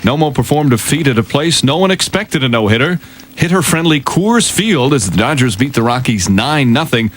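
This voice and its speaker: A man's voice: mid-range voice, big pitch swings, normal speed. An American man reading a sports update on morning radio, punchy and jokey, tossing in wisecracks. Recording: noisy old radio recording.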